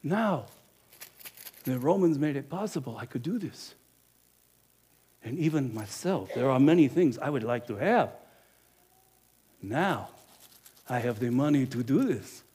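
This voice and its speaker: A man acting out a middle-aged innkeeper in a dramatic first-person monologue, emphatic and animated, swinging between loud and hushed. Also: mid-range voice, unhurried, pauses often, slightly rough. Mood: boastful. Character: middle-aged male innkeeper, money-minded and guilt-ridden. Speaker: actor.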